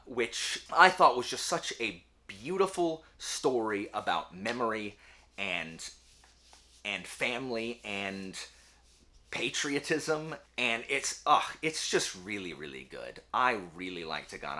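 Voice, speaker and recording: A man's voice: mid-range voice, bright, forceful tone. A man chatting casually to viewers about his reading in an informal, rambling, slangy way, as host of his own video. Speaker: book reviewer, video host.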